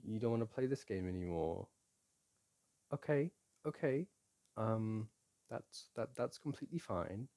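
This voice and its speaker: Man playing a slow, animated boyfriend, teasing and soothing. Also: fairly low pitch. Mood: gentle, coaxing. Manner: gently teasing and coaxing, halting. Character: playful, teasing, soothing boyfriend at a board game. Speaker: audio roleplay performer. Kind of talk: boyfriend ASMR roleplay, casual intimate chat to listener.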